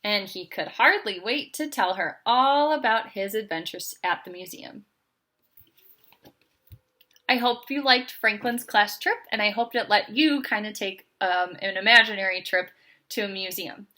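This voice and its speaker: A woman narrates a children's picture book aloud. Her voice is bright and forward, at an average pitch for a woman, in the style of a read-aloud storyteller. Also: unhurried.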